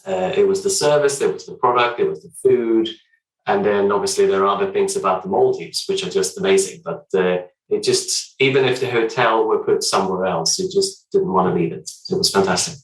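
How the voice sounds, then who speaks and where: A man from Sweden with a Swedish accent, a guest talking through his work in a measured, hesitant way with frequent restarts. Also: sits low, echoey room, far from the mic, low-quality recording. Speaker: hotel development director, podcast guest. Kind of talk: casual interview chat.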